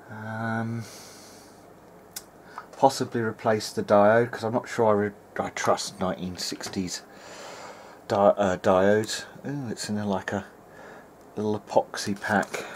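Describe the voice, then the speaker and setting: A man thinking aloud hesitantly as he hosts a casual hands-on electronics demonstration at a workbench. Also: lowish voice. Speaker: host, hobbyist electronics repairer. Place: indoor workbench. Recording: clear background noise, workshop room.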